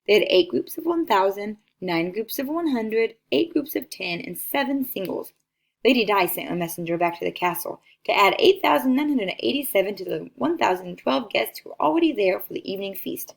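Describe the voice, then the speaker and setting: A woman reading a children's picture book aloud as an expressive storyteller. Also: mid-range voice, brisk, gravelly.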